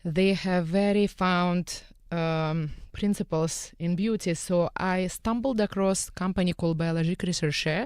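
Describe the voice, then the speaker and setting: A woman's voice: lowish voice, steady tone, measured pace, hardly any pauses, darkish tone, smooth, no room sound, clean studio sound. A woman from Eastern Europe with a Russian accent, a guest on a radio panel who talks earnestly and often drops articles. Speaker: guest, skin care salon owner. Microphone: studio microphone.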